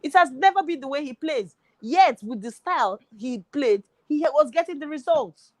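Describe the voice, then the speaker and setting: A woman's voice: high-pitched, expressive, moderate pace, dry recording. Emphatic woman arguing a football point. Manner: emphatic, argumentative. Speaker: football pundit. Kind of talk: studio football discussion.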